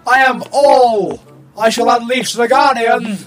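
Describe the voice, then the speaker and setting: A woman's voice: a little high, fairly even intonation, takes it slowly. A woman playing a tiny, pompous male magical guru who gives indignant, grandiose commands in a comic radio play. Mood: indignant, commanding. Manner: grandiose, commanding, archaic phrasing. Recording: music behind the voice.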